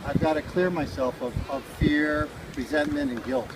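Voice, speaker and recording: Middle-aged male musician from Hawaii, higher-pitched voice, rambling reflective chat, recorded outdoors over loud noise. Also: normal speed. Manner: rambling, philosophical. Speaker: street musician being interviewed. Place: outdoors by a beach park. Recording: loud outdoor background noise with vehicle sounds.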